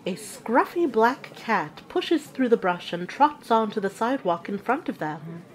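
A woman playing a witch who narrates an audio drama with measured, deliberate storytelling. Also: a little high, big pitch swings.

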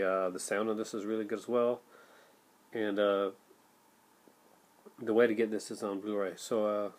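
Rambling middle-aged man, low-pitched. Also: a little mellow. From Canada. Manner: rambling, many fillers and restarts. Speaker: vlogger, film collector. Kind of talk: casual chat to camera. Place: home room with shelves of films, indoors. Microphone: built-in webcam mic at desk.

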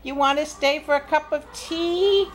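A woman's voice: high voice, normal speed, slight background noise, voice pushed up, natural voice. A woman voicing a kindly, doting old grandmother in a children's story, cheerful and welcoming. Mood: delighted.

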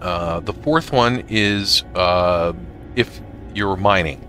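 A man narrating a casual software tutorial for gamers in a halting way, often pausing mid-sentence. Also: low-pitched. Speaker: gamer making a tutorial video.

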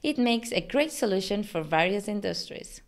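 A woman in her thirties speaks as a company presenter. Her voice sits at an average pitch for a woman. She delivers scripted product narration in an upbeat, promotional manner. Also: animated, moderate pace, over loud music. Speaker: company presenter, digital marketing manager.

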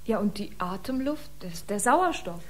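A woman's voice: mid-range voice, animated, normal speed, lightly acted. An actress playing an emotional, wondering female biomusician on a Mars voyage, speaking expressively in a science-fiction radio drama. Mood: curious.

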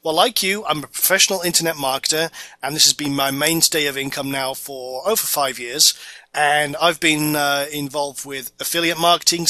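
Bright Scottish man pitching a course. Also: no echo. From Orkney Islands, Scotland. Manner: casual, filler-heavy sales pitch. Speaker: online course presenter. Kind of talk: narrated slideshow course video.

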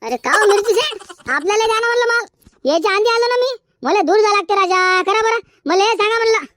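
Squeaky cartoon bazaar haggler, folksy and insistent, very high and bright, dry close sound. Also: lively intonation, brisk, no echo, exaggerated voice. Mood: insistent haggling. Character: haggling, bantering cartoon chilli-market traders. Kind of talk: comedic cartoon dialogue in colloquial rural Marathi.

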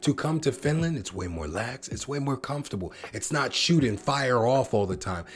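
A man's voice: mid-range voice, animated, moderate pace. An American man in a casual vlog, rambling a bit and talking straight to his viewers, often calling them guys. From United States. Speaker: vlogger. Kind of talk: casual reaction vlog to camera.

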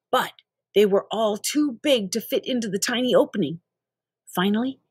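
A woman with a fairly high voice reads a children's story aloud. Her delivery is animated and emphatic, like a storyteller's, and the sound is fairly dry. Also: no room sound. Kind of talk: expressive children's book reading.

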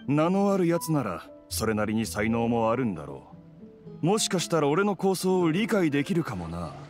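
A man's voice: on the high side, sing-song, moderate pace. An actor playing an arrogant, condescending male official in a Japanese fantasy video game, haughty and blunt. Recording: clear music behind the voice.

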